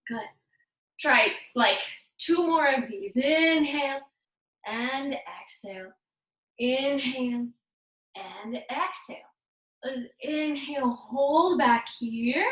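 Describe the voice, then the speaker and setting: A woman's voice: high voice, fairly bright tone. Brief: female fitness instructor, playful cueing, recorded far off in an echoey room. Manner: upbeat, playful cueing mixed with Spanish words. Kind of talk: guided workout cues.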